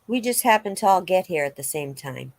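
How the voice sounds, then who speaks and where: A woman reading the part of a calm, reassuring law officer in a dramatic reading of a witness interview. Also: lowish voice, brisk, no room sound. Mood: matter-of-fact. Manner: matter-of-fact, reassuring.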